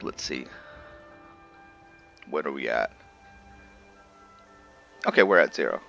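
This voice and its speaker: Male gaming host, low and bright-edged voice, thinking aloud haltingly, with music underneath. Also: lively intonation, creaky, lo-fi sound. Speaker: gaming video host and roleplayer. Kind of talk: casual gameplay commentary with roleplay storytelling.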